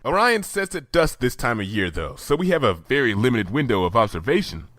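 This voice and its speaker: A man's voice: medium pitch, big pitch swings, brisk, a bit bright, dry recording, studio quality. A man voicing an earnest, bookish young unicorn mare who explains things in a precise, lecturing way. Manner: precise, lecturing, enthusiastic about science.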